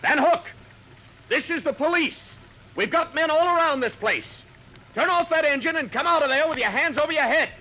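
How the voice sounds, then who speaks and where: An actor playing a blunt, excitable male police sergeant in a 1950s radio drama. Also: very high voice, normal speed. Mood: shouting. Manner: blunt, exclamatory, barks orders. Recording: muffled old broadcast with loud hiss.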